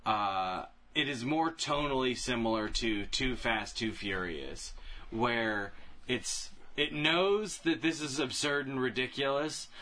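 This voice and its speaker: A man with a bright, forceful voice and a middling pitch talks casually as a podcast co-host. He picks his way through details haltingly, stopping to qualify himself. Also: expressive. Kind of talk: casual chat about video game movies.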